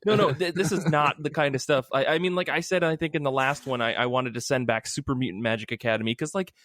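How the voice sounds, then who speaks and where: A man's voice: mid-range voice, fast talker, fairly bright tone. A man in his thirties hosting a podcast and chatting casually. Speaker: podcast host. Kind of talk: casual comics podcast chat.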